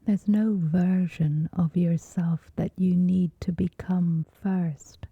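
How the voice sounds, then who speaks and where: Woman, lowish pitch, soft whispery voice, soothing meditation guide, long pauses, close dry sound, muffled narrow band. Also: steady tone, breathy edge, no echo. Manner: soothing, gentle, long pauses between short phrases. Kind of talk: guided sleep meditation, scripted.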